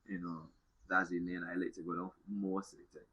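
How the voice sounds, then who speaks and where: A man from Barbados with a Bajan accent and a husky voice, a soca singer chatting casually in dialect in an interview. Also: low voice, level tone, a little fast, sharp tone, rough recording.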